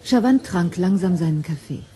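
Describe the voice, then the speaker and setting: A woman giving measured, sober scripted narration for a German crime radio play. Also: fairly low pitch, lively intonation, dark tone.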